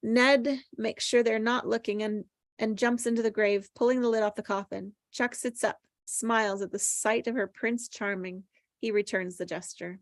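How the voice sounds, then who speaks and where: A woman's voice: on the high side, steady tone, moderate pace, no room sound, studio quality. A woman reading screenplay stage directions as plain, descriptive narration at a table read.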